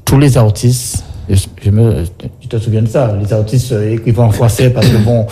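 Man, interview guest, low-leaning mellow voice, conversational, circles back over phrases, faint noise behind. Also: fairly even intonation, moderate pace. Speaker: guest, songwriter for singers. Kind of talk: casual studio conversation.

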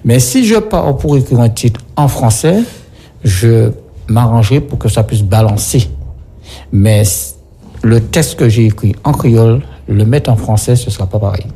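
A man's voice: medium pitch, expressive, moderate pace, warm tone, husky. A man in casual conversation, explaining things as a guest and often repeating and rephrasing himself. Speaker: guest, songwriter for singers.

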